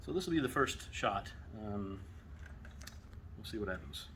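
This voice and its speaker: A man's voice: on the low side, expressive, moderate pace, a bit bright, a little hiss or hum. Joking Texan man in a workshop. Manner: joking, rambling, self-deprecating. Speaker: maker building a weapon. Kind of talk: casual workshop vlog. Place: home workshop.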